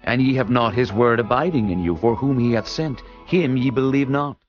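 An actor playing Jesus as a calm, authoritative male teacher, speaking solemnly in a dramatized scripture reading. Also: medium pitch, moderate pace, close to an everyday voice. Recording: dull lo-fi audio with music.

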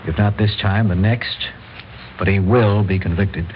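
A man's voice: fairly low pitch, steady tone, a little slow, lightly acted. An American man acting a principled, defiant lawyer in a mid-century radio drama, speaking formally and emphatically. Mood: grave, resolute. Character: Jay, principled, defiant lawyer confronting vigilantes. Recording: muffled old radio recording with faint noise.

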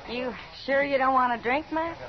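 A woman's voice: sits high, normal speed, noisy background, close to an everyday voice. An actress playing a worldly, familiar saloon woman in a casual manner, in a 1950s radio western. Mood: familiar, curious. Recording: dull 1950s radio recording.

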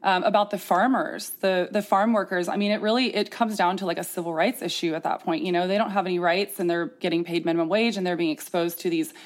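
A woman's voice: on the low side, fairly even intonation, fast, few pauses. A woman hosting a candid interview, talking casually with earnest emphasis. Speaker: podcast host.

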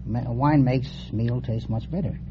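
A man's voice: medium pitch, unhurried. A man from Europe with a European accent, giving brief, simple answers as a contestant on a vintage radio quiz. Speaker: quiz show contestant, winery worker. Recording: muffled, noisy vintage radio with audience.

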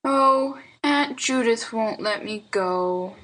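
A woman's voice: a little high, unhurried, a bit bright, slightly rough. A woman voicing a mischievous, earnest little boy in a storybook, with childish slang and plaintive reasoning. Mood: despondent, pondering. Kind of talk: storybook character dialogue.

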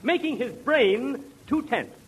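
A fussy quack professor with a very high, bright voice. He explains things grandly in the manner of 1940s radio comedy, swooping about in pitch. It comes off a vintage broadcast. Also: animated, slow pace, slight background noise, full character voice. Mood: pompous, fussy. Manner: mock-learned, pompous, grandly explaining. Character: pompous crackpot professor, fussy quack expert on dreams.

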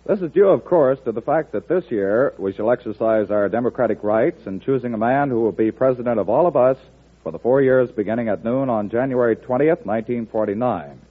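An American man makes an earnest, formal announcement in 1940s radio style. His medium-pitched voice is rough-edged and husky. The recording is old and tinny, with little low or high end. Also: a little fast.

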